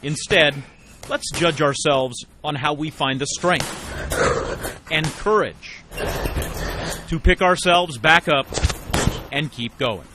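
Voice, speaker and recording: Male news reporter, higher-pitched, emphatic feature storytelling in short phrases, noisy background. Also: takes it slowly, pauses often. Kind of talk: scripted feature narration.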